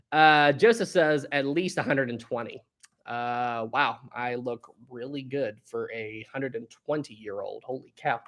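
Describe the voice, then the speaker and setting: A man's voice: a little high, a bit bright. A man in his thirties hosting a casual live stream, chatting and reading viewer comments aloud, laughing often. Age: 30s. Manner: chatty, laughs often, reads chat aloud with playful bursts.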